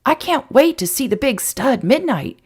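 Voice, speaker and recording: A high, pleading little boy, whiny one moment and bursting with excitement the next, as a woman puts him on. His voice swoops widely and is lifted well above a grown woman's. It carries a gravelly edge. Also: normal speed, heavily acted. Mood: eager whiny.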